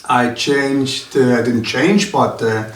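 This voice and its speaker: A man from Denmark, a martial arts instructor talking in a casual interview, halting with many filler sounds. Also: mid-range voice, steady tone. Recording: roomy sound from a distant mic.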